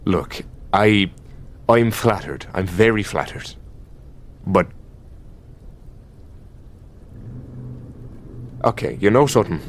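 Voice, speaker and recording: An Irish elder demon boyfriend , played by a man, speaks in a fairly low voice. He is exasperated and possessive, mocking in a halting, conversational way with plenty of swearing. The delivery is that of an intimate ASMR roleplay monologue. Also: lively intonation. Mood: weary, mocking.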